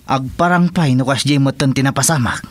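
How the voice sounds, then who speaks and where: A mid-pitched male henchman played by an actor in a radio drama. His delivery is brisk, as an underling reporting to his boss. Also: dry recording, close to an everyday voice. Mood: urgent.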